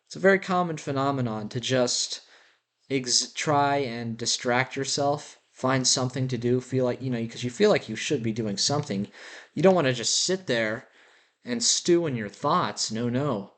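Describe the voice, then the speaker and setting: A man's voice: medium pitch, normal speed, fairly bright tone. A man with a slight lisp rambling through a personal audio journal in a musing, self-deprecating way, recorded on a built-in laptop mic while walking around a house. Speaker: vlogger. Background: dogs' claws clicking.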